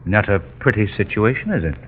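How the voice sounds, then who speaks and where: An actor playing a suave, composed gentleman sleuth, polite and understated in a 1930s radio mystery style. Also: on the low side, expressive, brisk, slightly rough. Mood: pensive, resolved. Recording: dull, hissy 1930s radio recording.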